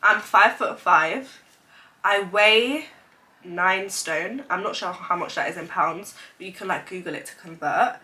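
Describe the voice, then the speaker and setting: A woman's voice: mid-range voice, unhurried, sharp tone. A woman in her twenties, congested from a cold, chatting openly in a casual sit-down vlog with lots of "like" fillers. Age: 20s. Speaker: vlogger. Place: indoors, seated at home. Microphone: camera mic, sitting in front of it.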